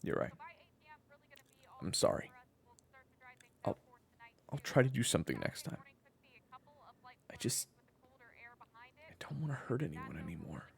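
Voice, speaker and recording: An actor playing a weary, remorseful assassin and young father in an audio roleplay, gravelly and halting as he talks and narrates. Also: very animated, heavily acted. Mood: remorseful, tender. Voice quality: very deep, gravelly. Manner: halting, hesitant, conversational narration. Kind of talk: first-person audio roleplay drama. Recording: echoey, long reverb.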